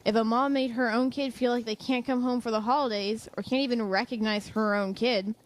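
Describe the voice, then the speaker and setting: A woman playing a protective, composed professor in an audio drama, measured and dry with a pointed edge. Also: a little high, fairly even intonation, natural voice. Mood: pointed, firm.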